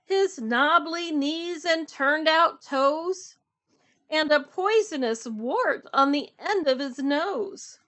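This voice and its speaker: A woman's voice: high voice, slow pace, fairly bright tone, voice pushed up. A woman voicing a small, clever, boastful mouse from a children's storybook, in a bold singsong manner. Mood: alarmed.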